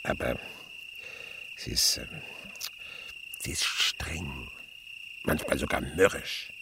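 An actor playing an elderly, thoughtful and melancholy naturalist in a German radio play, musing and pausing as he speaks. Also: extremely low pitch, vocal fry, pushed and bright, a little hiss or hum, exaggerated voice. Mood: wistful, melancholy. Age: older. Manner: reflective, musing, hesitant pauses.

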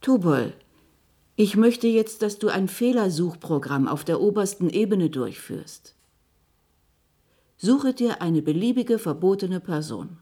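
A self-possessed female scientist in a radio play. She sounds intelligent and somewhat weary under pressure, at a natural female pitch. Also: animated, measured pace, mellow, breathy edge. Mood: probing. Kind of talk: radio drama acting.

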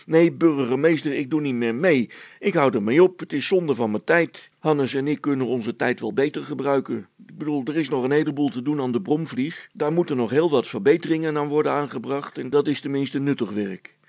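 A man's voice: mid-range voice, fairly quick, a little mellow, no room sound, lightly acted. A man voicing an exasperated, wisecracking tinkerer in a children's story, grumbling in a dry, teasing manner. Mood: grumbling, fed up.

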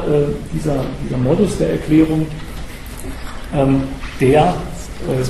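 A slightly hoarse man gives an academic lecture in a somewhat high voice with a gravelly edge. He speaks straight to his listeners and often stops to restart his sentences. The recording sounds echoey, with steady noise behind him. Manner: talks to the audience directly, with many hesitation sounds and restarts. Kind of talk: academic lecture on political theory. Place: lecture room.

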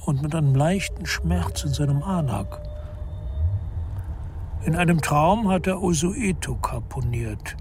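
A man's voice: fairly high pitch, expressive, a little slow, pauses often, noisy background. A man delivering scripted literary narration for a radio drama.

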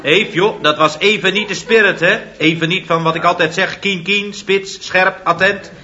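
An actor playing a cheerful flying instructor who talks briskly and chummily, deferring to his boss. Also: high-pitched, steady tone, bright, forceful tone, dull audio. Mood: chummy, teasing. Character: eager, cheerful young male flying instructor. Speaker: pilot and flying instructor Paul. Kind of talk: 1960s radio comedy serial.

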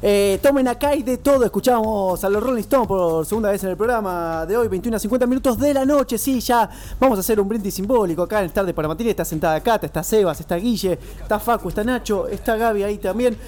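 A man's voice: high-pitched, fast talker, hardly any pauses, darkish tone. A man with an Argentine accent hosting a radio show in casual, joking chat, teasing his guests. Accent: Argentine Spanish. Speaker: radio host.

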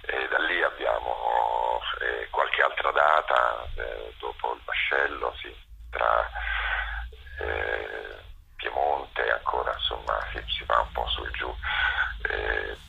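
A man being interviewed as a theatre artist, speaking thoughtfully and hesitantly, often pausing to search for words. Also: very deep voice, fairly even intonation, creaky, pushed and bright, husky, dull audio. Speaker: theatre actor-director, interview guest.

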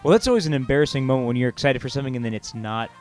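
A man in casual conversation, halting and stammering as he interrupts himself, with an excitable manner. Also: mid-range voice, soft background music. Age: 20s. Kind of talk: casual chat.

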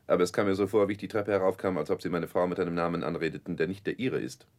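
A man's voice: low-pitched, steady tone, racing, darkish tone, natural voice. An actor playing a cruel, domineering stepfather in a 1960s German radio drama, cold, menacing and commanding. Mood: cold, controlled.

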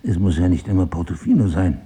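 An actor playing an intellectual, probing amnesiac crime writer in a naturalistic radio drama, husky and sardonic. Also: male voice, deep voice, lively intonation, quick pace, dark tone, full character voice. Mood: insistent. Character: brooding amnesiac crime writer husband, cerebral, suspicious of himself.

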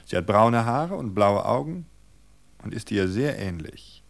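A man's voice: on the low side, animated, unhurried, gravelly. A man reading aloud and voicing a stubborn, orderly husband of forty who lectures playfully. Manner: playful yet pedantic.

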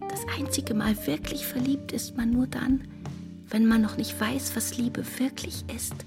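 An actress in a German radio drama playing an anxious, earnest, wistful woman with a breathy voice. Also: a little high, steady tone, unhurried, in a whisper, music in the background. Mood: intense melancholy reading.

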